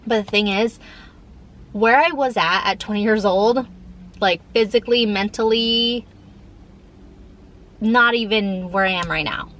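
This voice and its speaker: Female vlogger, 30, informal rambling chat, mid-pitched with a slight lift, some background noise. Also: fairly bright tone. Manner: informal, rambling, frequent filler "like". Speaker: lifestyle vlogger. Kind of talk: casual chat to camera.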